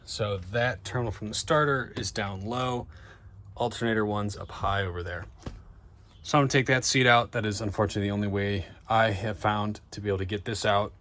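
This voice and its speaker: A man's voice: fairly low pitch, fairly even intonation, brisk, fairly bright tone, a little hiss or hum. A man giving a casual, informal hands-on narration to viewers, thinking aloud as he works on a vehicle. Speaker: DIY car vlogger and host. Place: in and around a van.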